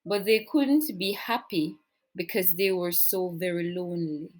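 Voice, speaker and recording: A woman reading a children's story aloud in an expressive, deliberate storytelling manner. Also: lowish voice. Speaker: storybook reader, teacher.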